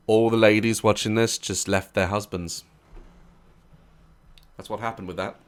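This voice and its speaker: A man with a hoarse, sore throat hosting a reaction video, joking and swearing as he comments. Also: on the low side, fairly even intonation, normal speed, a little hiss or hum. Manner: jokey, irreverent, swearing.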